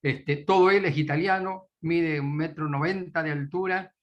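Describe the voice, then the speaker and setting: A man's voice: fairly high pitch, fairly even intonation, normal speed. Argentine guy hosting a church meeting, lots of ums, kinda muffled recording. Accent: Argentine Spanish. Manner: hesitant, many fillers. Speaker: meeting host.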